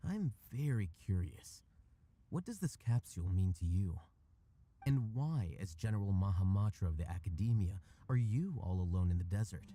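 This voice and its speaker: An actor playing an aloof, sardonic male scholar in a video game, speaking calmly and precisely with dry irony. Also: low voice. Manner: calm, dry, ironic, precise. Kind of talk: video game cutscene voice acting.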